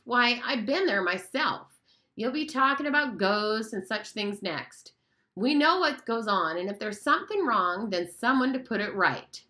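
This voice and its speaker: A fussy, bespectacled boy who earnestly explains things to everyone, voiced by a woman reading the book. His voice is high and bright with lots of pitch movement. He sounds prim and insistent. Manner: prim, lecturing.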